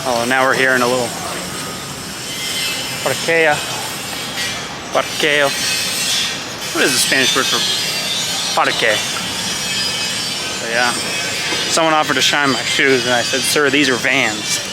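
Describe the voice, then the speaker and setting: A man's voice: medium pitch, a little slow, long pauses, very noisy. A man vlogging as he walks through a busy outdoor street market, talking casually with wry, offhand asides into a handheld camera. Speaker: travel vlogger. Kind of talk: walking travel commentary.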